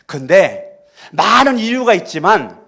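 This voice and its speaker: Fervent high-voiced Korean pastor preaching. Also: male voice, big pitch swings, measured pace, husky. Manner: fervent preaching, swings between quiet storytelling and shouted exhortation. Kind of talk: sermon. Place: church sanctuary.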